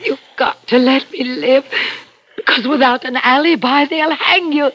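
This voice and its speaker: An actress playing the frightened, pleading working-class wife of a killer in a 1960s radio suspense drama. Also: female voice, high-pitched, unhurried, fairly bright tone. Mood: pleading, afraid. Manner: anxious, imploring delivery. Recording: dull old radio broadcast, faint music.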